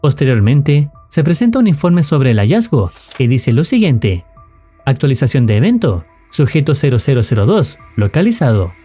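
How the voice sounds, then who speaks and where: Lively, mellow male horror narrator. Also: medium pitch, normal speed, slightly rough, faint music behind. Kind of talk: scripted narration recapping analog horror.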